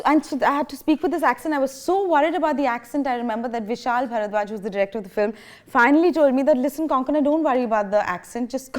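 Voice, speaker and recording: A woman in her thirties from India, whose first language is Bengali, giving a public lecture in a chatty, self-deprecating way, with frequent laughs, fillers and restarts. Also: a little high. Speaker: guest speaker. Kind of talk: public lecture, personal anecdotes. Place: lecture hall.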